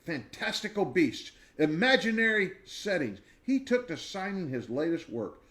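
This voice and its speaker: A man reading a children's biography aloud in an expressive storybook style, with dramatic emphasis and deliberate pauses. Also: sits high.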